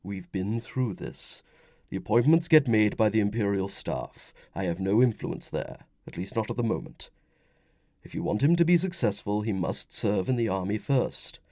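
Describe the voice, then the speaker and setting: Man playing a gruff, affectionate Roman commander. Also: lowish voice, animated, moderate pace, mellow, no echo, clean studio sound. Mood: gentle reasoning. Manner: affectionate, grumbling, authoritative. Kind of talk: audiobook character dialogue.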